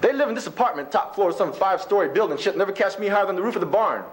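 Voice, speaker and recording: A man's voice: sits high, expressive, racing, slight background noise. A man voicing a bigoted old rancher grandfather in a folksy drawl, reciting a dramatic monologue poem. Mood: proud, folksy. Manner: folksy drawl, exclaiming, colloquial rural speech.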